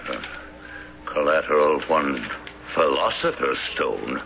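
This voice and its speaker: Gravelly, low-voiced, exasperated banker. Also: male voice, slow pace, heavily acted. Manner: sarcastic, impatient, scolding. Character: exasperated, domineering banker, bossy brother. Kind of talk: old-time radio drama acting. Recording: dull lo-fi radio broadcast with faint hiss.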